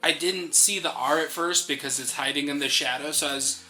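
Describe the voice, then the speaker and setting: A man's voice: fairly high pitch, brisk, low-quality recording. A man co-hosting a gaming let's play, chatting casually with dry, playful replies. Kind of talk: casual chat, game commentary. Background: faint game music.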